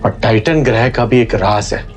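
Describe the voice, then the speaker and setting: Older family-friend scientist, male actor: medium pitch, avuncular, measured, gravely cautioning, audio drama. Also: faint music behind, natural voice. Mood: grave advice. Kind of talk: Hindi audio drama acting.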